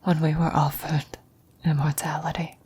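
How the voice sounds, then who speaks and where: A woman playing a gentle, wise, dying old woman in a whispered, breathy, solemn ASMR roleplay. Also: low voice, little pitch movement, slight background noise, natural voice. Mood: grave, questioning. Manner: slow, solemn, measured delivery.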